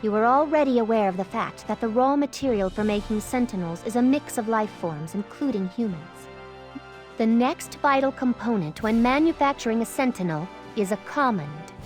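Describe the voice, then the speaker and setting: A woman's voice: on the high side, expressive, moderate pace, a little mellow, soft background music, natural voice. A woman playing a stern, dutiful bodyguard and soldier in a video game, speaking formally and exactingly. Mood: serious, formal. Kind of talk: video game cutscene voice acting.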